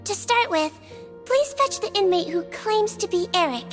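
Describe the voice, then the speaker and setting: A woman playing a small, gentle young head nurse who explains things politely and methodically, in anime game style. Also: extremely high pitch, lively intonation, normal speed. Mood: calm, earnest. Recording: music behind the voice.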